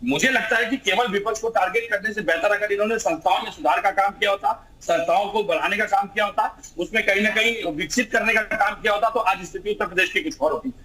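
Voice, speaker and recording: A man's voice: sits high, quick pace, hardly any pauses, bright, forceful tone, rough recording. A man arguing as a political panelist in a TV debate, emphatic and fond of rhetorical questions, with some noise on the recording. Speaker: political panelist, party spokesperson. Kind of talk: heated political debate argument. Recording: clear background noise.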